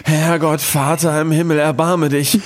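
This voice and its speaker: A man playing a whiny, easily frightened car dealer in a comic radio play, with a pleading manner and a husky voice. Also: on the high side, level tone, measured pace, close to an everyday voice. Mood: panicked. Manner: whining, pleading.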